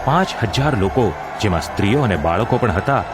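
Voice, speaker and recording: A man's voice: on the low side, lively intonation, brisk, over loud music, a loud crowd, lightly acted. A man narrating a gospel audio drama in a formal, solemn storytelling style.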